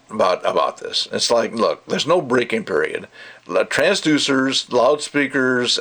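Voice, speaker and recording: An older man with a gravelly, creaky voice giving a casual, rambling review in an opinionated, emphatic way. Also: fairly low pitch, fairly bright tone. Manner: opinionated, rambling, emphatic, acts out mocking quotes. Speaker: audio gear reviewer. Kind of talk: casual ranting video review.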